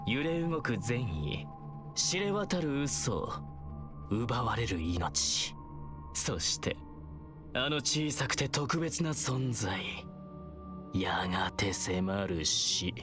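An actor playing a taunting, playful and menacing young male villain in a video game, with a theatrical, teasing, drawn-out delivery. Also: medium pitch, very animated, over music. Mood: ominous, theatrical.

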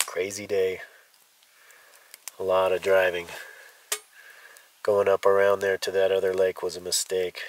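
A deep-voiced man narrates his camp cooking in an easy, unhurried murmur. His voice is picked up from a few feet away by an outdoor camera. A clear background hiss sits under it. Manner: relaxed, murmuring while tasting food. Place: forest campsite outdoors. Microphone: camera mic a few feet away. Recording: distant outdoor camera audio with noise.

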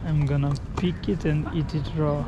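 A man's voice: fairly high pitch, steady tone, moderate pace, lo-fi sound. A Nepali man with a Nepali accent, a tour guide hosting a travel vlog in an outdoor garden café and telling viewers what he is doing. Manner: upbeat, tells viewers what the group is doing. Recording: outdoor street noise behind.

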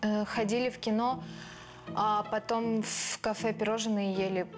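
Young woman acting a subdued frightened student, monotone hesitant replies, music behind. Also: mid-range voice, unhurried, lightly acted. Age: young adult. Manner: quiet, flat, hesitant replies. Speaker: student witness. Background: background music.

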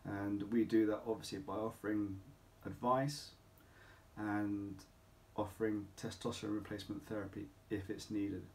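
A middle-aged man speaking as a clinic doctor, explaining to camera in an emphatic, deliberate way with rhetorical questions. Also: lowish voice, little pitch movement, a little mellow. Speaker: doctor at a men's health clinic. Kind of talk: informal explainer talk to camera. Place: small home office or consulting room, indoors.

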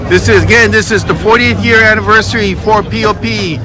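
A man's voice: high-pitched, fairly quick, over music. A man vlogging as he walks around an outdoor harbor fishing expo, chatting casually and thanking exhibitors. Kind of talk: casual walkaround narration. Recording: crowded outdoor event. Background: crowd chatter.

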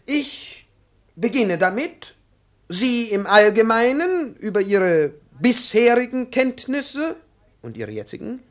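An actor in a 1950s radio play playing a fussy, excitable aging professor, over-polite and halting, then imperious. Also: male voice, very high-pitched, full character voice. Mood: fawning, fussy. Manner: obsequious and halting, turning imperious. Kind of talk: 1950s German-language radio play acting.

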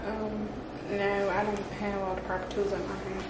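A woman's voice: medium pitch, little pitch movement, moderate pace. A woman, chatting casually in a blunt, self-assured way as a stylist at work indoors. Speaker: hairstylist and makeup artist. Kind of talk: casual chat. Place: small room indoors, off camera. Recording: distant echoey mic, clearly noisy room.

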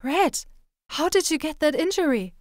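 A woman's voice: sits high, animated, moderate pace, a little mellow, dry recording, studio quality. A woman voicing an earnest, caring young girl trainer with an emotional, pleading manner, in dramatic anime style. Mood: worried.

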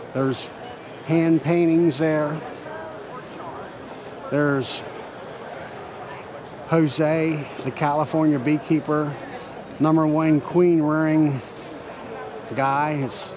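Man narrating a noisy trade show walk. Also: on the high side. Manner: relaxed, plain-spoken tour guiding. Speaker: channel host. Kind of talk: walking tour narration. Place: large convention hall.

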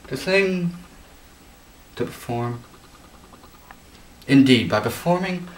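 Young man playing a slow, hesitant soliloquizing singer, echoey. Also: a little high. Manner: theatrical, halting, deliberate delivery. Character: hesitant young amateur singer agonizing over fame. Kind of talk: student parody of a Shakespearean soliloquy. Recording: echoey room, distant mic, background hiss.

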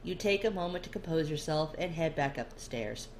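Low-pitched woman reading a story, noisy recording. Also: animated, fairly quick. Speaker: amateur fan fiction reader. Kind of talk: scripted narration, second-person story reading. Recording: clear background noise.

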